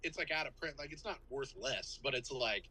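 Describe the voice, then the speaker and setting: A man's voice: mid-range voice, brisk, pushed and bright, slight background noise, poor audio. A man co-hosting a podcast, rambling casually about movies with lots of fillers. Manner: rambling, filler-heavy, self-deprecating. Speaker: podcast co-host.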